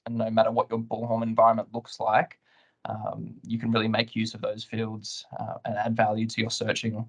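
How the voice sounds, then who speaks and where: A man speaking as a webinar guest, answering questions in a measured, professional, explanatory way. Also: fairly low pitch, monotone, gravelly. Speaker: client guest, compliance lead.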